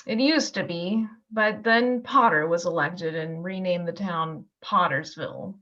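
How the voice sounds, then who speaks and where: A woman's voice: lowish voice, measured pace, fairly bright tone. A woman playing an anxious, tender young wife in an amateur radio play, recorded over a video call. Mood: guarded concern. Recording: muffled video-call audio.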